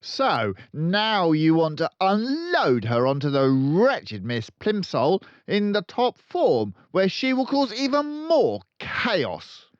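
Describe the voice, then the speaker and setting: A man's voice: high-pitched, wildly swooping pitch, slow, hardly any pauses, no echo, highs cut off, pitched above the performer's own voice, exaggerated voice. A man voicing a tyrannical, bullying headmistress who barks and bellows in fury, in a children's storybook reading. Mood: outraged. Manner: barking, bellowing, snorting contempt. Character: tyrannical, furious, bullying headmistress, a huge middle-aged woman. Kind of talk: children's audiobook character voice.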